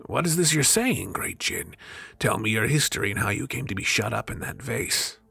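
A man's voice: fairly low pitch, big pitch swings, normal speed, gravelly, sharp tone, husky, dry recording, faint music behind, heavily acted. A man voicing a poor, hapless fisherman from a storybook, plaintive, frightened and pleading in a dramatic way. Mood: curious. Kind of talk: storybook character.

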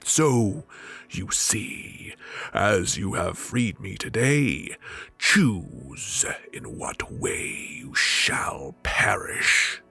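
A man voicing a huge, haughty, wrathful djinn from a storybook, with slow, menacing, grandly dramatic delivery. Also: fairly low pitch, big pitch swings, vocal fry, pushed and bright, breathy edge, soft background music, exaggerated voice. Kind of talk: storybook villain.

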